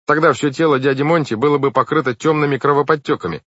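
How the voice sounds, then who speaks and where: A man's voice: on the high side, fast talker, a bit bright, no room sound, voice pushed up. A man voicing a clever, earnest, bookish boy from a storybook, explaining things emphatically. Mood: confident reasoning. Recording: dull, lo-fi, dry recording.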